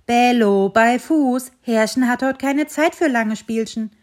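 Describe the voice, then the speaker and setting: A woman voicing an impatient, breathless male jogger calling loudly for his dog, in a children's story. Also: a little high, unhurried, pitched above the performer's own voice, lightly acted. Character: out-of-breath jogging dog owner, impatient man.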